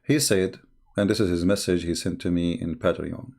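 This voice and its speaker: A man hosting a live broadcast in a casual, halting way, his voice strained and tired. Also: sits low, fairly even intonation, creaky, darkish tone. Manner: halting, reads viewer messages aloud slowly. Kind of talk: casual live-stream talk.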